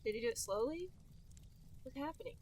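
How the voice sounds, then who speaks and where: A woman with a very high-pitched voice chats casually as a podcast co-host. She sounds slightly distant from the mic. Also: animated, a little fast, darkish tone, a little hiss or hum. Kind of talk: casual chat.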